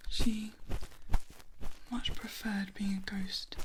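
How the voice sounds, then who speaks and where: ASMR roleplay: female performer as a gentle, guarded vampire woman, hushed and breathy, mostly whispered, unhurried delivery, close recording with clear background noise. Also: mid-range voice, flat delivery, close to an everyday voice, low-quality recording. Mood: quietly musing. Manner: slow, hushed, pausing between short phrases. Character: gentle female vampire, wary of witches and humans. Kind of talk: soft-spoken ASMR roleplay.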